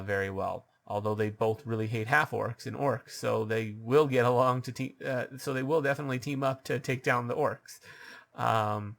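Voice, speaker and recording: A man's voice: lowish voice, vocal fry, a bit bright. A man giving advice as a dungeon master, talking off the cuff with lots of ums and restarts, his voice a bit scratchy. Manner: halting, many ums and uhs, restarts. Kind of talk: unscripted advice monologue.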